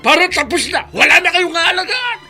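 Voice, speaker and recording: Bitter old Filipino father, high-pitched. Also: sing-song, fairly quick, bright, forceful tone, faint music behind, heavily acted. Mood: bitter outburst. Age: older. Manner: obstinate, self-pitying, complaining. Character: bitter, stubborn, sickly elderly father refusing care. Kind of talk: Filipino radio drama acting.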